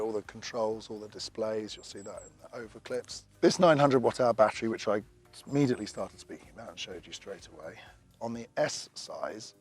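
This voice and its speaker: A man who is losing his voice, chatting casually through a bike review to camera with rambling asides. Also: lowish voice.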